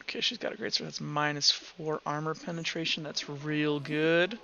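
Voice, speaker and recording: A man's voice: fairly high pitch, steady tone, normal speed, gravelly. A man working as game master, narrating a tabletop roleplaying game casually, thinking aloud and swearing freely. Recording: dull, lo-fi sound with background music.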